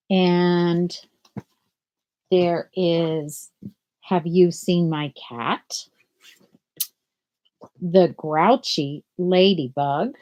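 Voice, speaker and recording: An older woman teaching children from home over a webcam, explaining a picture book and then reading it aloud in a gentle sing-song. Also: on the low side. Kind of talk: children's read-aloud lesson. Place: small room at home. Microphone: laptop webcam video call.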